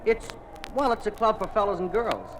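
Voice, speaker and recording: A man with an American accent playing a slick, persuasive high school recruiter in a 1950s radio drama. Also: sing-song, moderate pace. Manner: friendly, persuasive patter. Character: slick high school boy recruiting for a communist youth club. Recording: noisy old 1950s radio recording.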